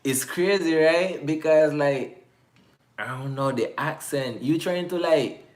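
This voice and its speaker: A man's voice: on the high side, takes it slowly. A young man with a Nicaraguan Creole English accent, chatting casually as host and slipping into patois. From United States, Bay Area, California. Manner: loose and animated, drifting into Creole patois. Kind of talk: casual chat reacting to comments.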